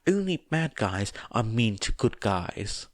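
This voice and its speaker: A man voicing a stubborn little girl, blunt and menacingly earnest, with halting, insistent speech in an audiobook. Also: on the low side, big pitch swings, no room sound, studio quality.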